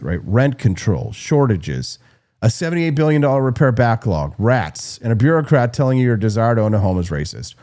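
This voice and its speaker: A man's voice: mid-range voice, warm tone. A man hosting a political commentary show, delivering a punchy, sarcastic monologue while losing his voice. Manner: punchy, sarcastic, repeats "right?".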